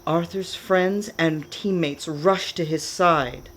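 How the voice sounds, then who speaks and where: A woman narrating a scripted radio play with measured, dramatic storytelling. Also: deep voice.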